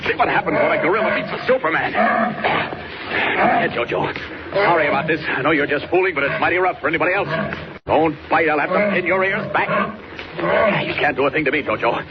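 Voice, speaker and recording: An actor playing a bold, confident superhero with a commanding, declamatory manner in 1940s radio serial style. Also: male voice, fairly high pitch, lively intonation, fairly quick, natural voice. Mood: bold, taunting. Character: bold, confident superhero, playfully tough in a fight. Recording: very noisy muffled old radio recording.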